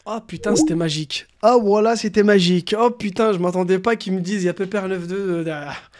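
A young man doing a casual live stream of crude prank calls, animated, slangy and prone to swearing. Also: high-pitched, fairly quick. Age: young adult. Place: small room at home.

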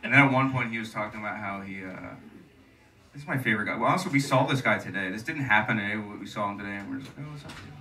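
A young man co-hosting a live podcast on a club stage with a handheld mic, rambling through stories and swinging between loud and soft. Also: lowish voice, rapid-fire, distant mic. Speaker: podcast co-host. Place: comedy club stage before an audience.

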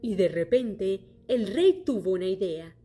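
A woman reading a children's story aloud in a clear, steady storytelling manner. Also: mid-range voice, a little slow. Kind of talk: scripted children's story narration. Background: soft music.

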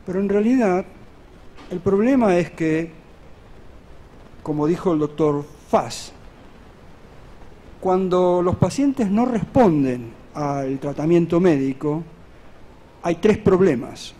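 A man's voice: on the high side, mellow. Earnest middle-aged man lecturing at a podium. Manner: earnest, measured explaining with rhetorical questions. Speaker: medical conference lecturer. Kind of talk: academic conference lecture. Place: large conference hall at a podium. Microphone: podium microphone on a stand.

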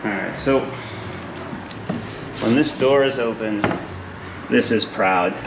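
A man's voice: fairly low pitch, slow, creaky, heavy background noise. Echoey, casual handyman vlogger. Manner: informal, hands-on explaining while working. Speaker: DIY renovation vlogger. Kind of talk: casual how-to vlog narration. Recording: echoey room, music underneath.

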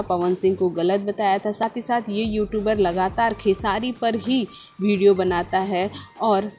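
A woman's voice: medium pitch, a little mellow. A woman presenting entertainment gossip news as a scripted narration, explaining and restating points with emphasis. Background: background music.

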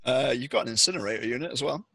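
Bright-voiced man running a tabletop game. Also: mid-range voice, lively intonation, very fast, vocal fry, a little hiss or hum. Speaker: game master. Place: indoors at home, remote group video call. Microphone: headset.

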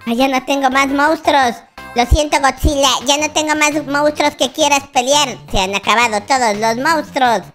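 A performer voicing an excitable, naive baby boy with a childlike squeaky voice, giving dramatic, exclamatory roleplay commentary for a children's gaming video. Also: normal speed, soft background music. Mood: excited, chatty. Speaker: YouTube gamer playing a character.

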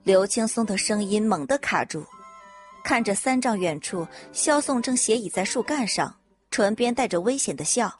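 A woman narrating a web audio novel in an even storytelling manner. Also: mid-range voice, moderate pace, soft background music.

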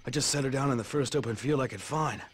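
An actor playing a plain-spoken, weary American glider pilot with a husky voice in a video game cutscene. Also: male voice, mid-range voice, quick pace, close to an everyday voice. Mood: relieved, practical.

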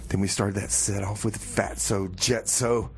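A man's voice: fairly low pitch, steady tone, a little slow. Laid-back man on community radio. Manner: casual, laid-back, says "like" and "man" a lot. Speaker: radio show co-host and DJ. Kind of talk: improvised comedy radio theater and DJ announcing.

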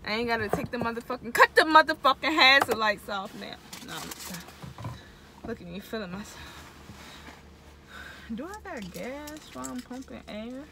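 A woman's voice: fairly high pitch, animated, moderate pace, pauses often. A young woman vlogging on her phone outdoors by her car in the cold, frustrated and swearing as she talks to her viewers. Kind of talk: casual vlog. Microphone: phone camera held low. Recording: loud outdoor noise.

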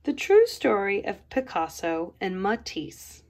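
A woman art educator reading a children's picture book aloud, expressive and playful. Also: medium pitch, takes it slowly. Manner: expressive storybook reading with playful voices for quotes.

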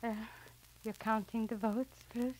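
A woman's voice: fairly high pitch, fairly even intonation, unhurried, a little mellow, natural voice. An actress playing an anxious, earnest middle-aged mother in a 1940s radio serial, hesitating and repeating her phrases. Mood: nervous. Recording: crackly 1940s radio transcription disc.

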